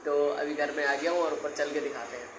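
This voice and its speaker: A man's voice: fairly high pitch, steady tone, brisk, fairly bright tone, roomy sound, a little hiss or hum, rough recording. A young man chatting casually and informally to viewers as a vlogger. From India. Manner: informal, chatty, often addressing viewers as brother. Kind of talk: casual chat to camera.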